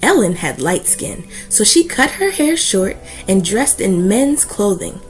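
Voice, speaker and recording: A woman reading a children's book aloud as host, with deliberate, emphatic phrasing and an expressive storytelling delivery. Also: medium pitch, soft background music, poor audio.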